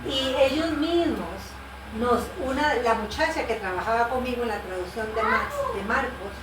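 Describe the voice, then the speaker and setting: A woman's voice: a little high, very animated, moderate pace, music in the background. Distant, roomy-sounding woman missionary explaining. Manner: explanatory, patient, with fillers and self-corrections. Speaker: Bible translator and missionary. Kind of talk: conversational explanation in an interview. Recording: roomy, distant mic with background noise.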